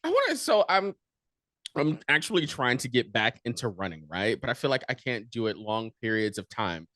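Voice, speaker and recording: A woman's voice: a bass voice, big pitch swings, moderate pace, fairly bright tone, dry recording, clean studio sound. A woman radio host chatting playfully with a guest over a video call, asking upbeat, joking questions. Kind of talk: casual video-call interview.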